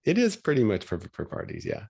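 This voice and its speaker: A man's voice: medium pitch, wildly swooping pitch, fast talker, creaky, dark tone. A middle-aged man from Washington State telling a story in a matter-of-fact, chatty way on a video call. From Washington State, USA.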